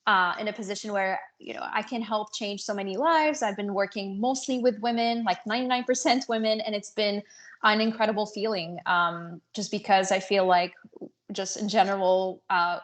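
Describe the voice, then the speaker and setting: A woman with a medium-pitched voice speaks as a guest in a casual interview. She is earnest and hesitant, often pausing and restarting as she explains herself. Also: fairly even intonation. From North Africa and France. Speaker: podcast guest, business coach.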